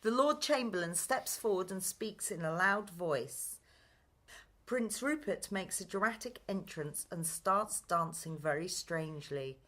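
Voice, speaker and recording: A woman's voice: on the low side, animated, measured pace, a bit bright. A woman reading scripted stage directions as narrator of a radio play.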